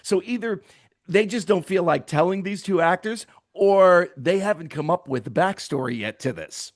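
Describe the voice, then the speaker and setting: Man, middle-aged, podcast host, relaxed, laughs often, casual chat. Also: sits high, normal speed.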